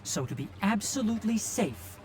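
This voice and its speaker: An actor playing a composed, pragmatic young scholar in a video game, speaking in a measured, instructive, matter-of-fact way. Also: male voice, high-pitched, sing-song, slight background noise. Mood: calm, firm. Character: composed, curt, pragmatic young male scholar and ranger mentor.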